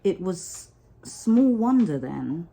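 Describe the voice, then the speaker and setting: A woman storyteller reading a children's story expressively, with deliberate, dramatic phrasing. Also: lowish voice, very animated, vocal fry, dark tone.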